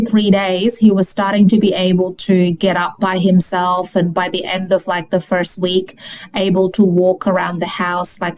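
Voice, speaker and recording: A woman's voice: fairly low pitch, a little mellow, rough recording. A woman from Indonesia chatting casually and explaining things as an economist guest in an interview. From Jakarta, Indonesia.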